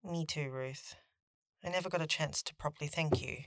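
A woman's voice: sits low, moderate pace, creaky, no room sound, clean studio sound. A woman playing a guarded fourteen-year-old boy in a fantasy audio drama, speaking casually and a little defensively. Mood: sincere, casual. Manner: casual, slightly defensive teenage talk.

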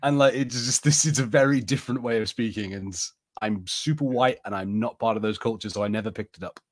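A man's voice: on the low side, a little fast, no echo, clean studio sound. A man with an English accent co-hosting a podcast, talking casually in a film and TV review discussion. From England. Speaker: podcast co-host.

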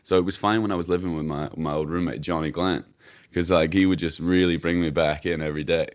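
A young Scottish man co-hosting a podcast, easygoing and teasing, with a gravelly voice. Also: sits low, fairly even intonation, fast. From Scotland. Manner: easygoing, teasing, laughs often. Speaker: podcast co-host. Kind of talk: casual podcast chat. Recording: dull lo-fi remote call audio.

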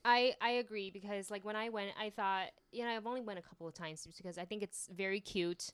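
A woman from Taiwan chatting casually as a podcast co-host, animated and acting out quotes. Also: medium pitch, fast, clean tone, no room sound. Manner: animated, acts out quotes, laughs while talking. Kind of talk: casual chat.

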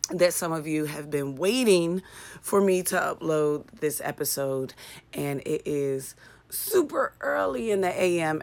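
A woman with a low, raspy voice talks straight to her listeners in a loose solo podcast. She is chatty and candid, slides into profane rants and pokes fun at herself. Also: fairly bright tone. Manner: chatty, candid, ranting with swearing and self-mocking humor. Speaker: podcast host. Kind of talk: casual solo podcast monologue.

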